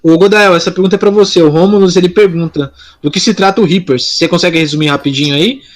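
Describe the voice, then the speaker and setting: A man's voice: high voice, fairly even intonation, fast. A man co-hosting a casual live podcast, talking informally and often interrupting himself mid-thought. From São Paulo, Brazil. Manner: informal, rambling, self-interrupting. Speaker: podcast co-host. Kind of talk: casual live chat, answering listeners.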